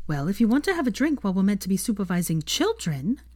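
A woman voicing a sharp, anxious and bossy young witch in a storybook reading, urgent and emphatic. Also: medium pitch, big pitch swings, fast, no echo, studio quality, pitched above the performer's own voice. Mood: strict, exasperated.

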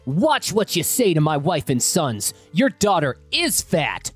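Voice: medium pitch, big pitch swings, measured pace, husky, soft background music. A woman voicing a hostile, dismissive entitled father in a dramatized online story. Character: hostile, curt entitled dad defending his family.

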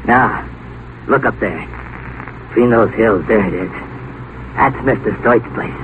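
A man's voice: on the low side, unhurried, lightly acted. Man playing folksy chauffeur, tinny old radio. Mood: proud, deferential. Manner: plain-spoken, deferential, colloquial. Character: folksy American male chauffeur, eager local tour guide. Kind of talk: 1950s radio drama acting. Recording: narrow-band, noisy vintage radio broadcast.

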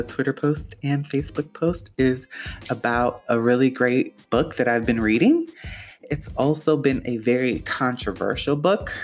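A young man hosting his own podcast, talking casually with lots of fillers and restarts in a soothing voice. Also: medium pitch. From Puerto Rico. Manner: rambling, chatty, many fillers and restarts. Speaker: podcast host. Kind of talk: casual solo podcast talk.

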